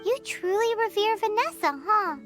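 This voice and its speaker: A woman voicing a small, excitable, caring and blunt fairy companion with a squeaky, childlike, cutesy delivery in a video game. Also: moderate pace. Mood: concerned, admiring. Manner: cutesy, refers to herself by name. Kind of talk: video game character acting. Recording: loud background music. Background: tinkling game music.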